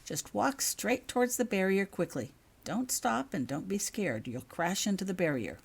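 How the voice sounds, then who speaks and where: A woman voicing a kindly, calm, fatherly man with a measured, reassuring manner in a storybook reading. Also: mid-range voice, vocal fry, slightly rough.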